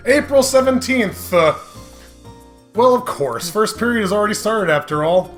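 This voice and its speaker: Animated man doing game commentary over music. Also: high voice, moderate pace. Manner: animated, excitable, jokey; laughs often while talking. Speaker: host. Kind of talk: casual comedic let's play commentary. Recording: music behind the voice. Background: video game music.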